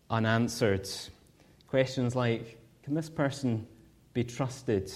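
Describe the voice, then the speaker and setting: A Scottish man preaches from the front of a church, earnest and measured. He builds his points through rhetorical questions. His voice sits at an ordinary middle pitch. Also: a little mellow. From Scotland. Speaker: guest preacher. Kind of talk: sermon.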